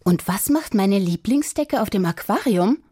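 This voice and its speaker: Casting: mum in a children's storybook, voiced by a female reader, questioning, mildly reproachful, mellow and slightly husky. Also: medium pitch, expressive, normal speed, no room sound, studio quality. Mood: puzzled, cautioning. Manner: questioning, gently admonishing. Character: mother of a young girl, puzzled, mildly reproachful.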